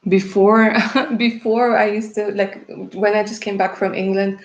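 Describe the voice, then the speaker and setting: A woman's voice: medium pitch, little pitch movement, a little slow, dull audio. A Russian-born woman, a native Russian speaker, who works as a tarot reader and chats with viewers on a live stream. She laughs often and calls them darling.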